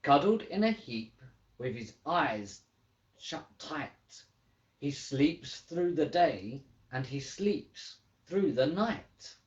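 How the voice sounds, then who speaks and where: A woman with a very deep voice hosts story time for little ones. She is playful and expressive, taking her time with lively swings in pitch, in a roomy home recording. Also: takes it slowly, muffled recording. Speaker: mother hosting a children's story time. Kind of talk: storybook read-aloud and casual chat to children.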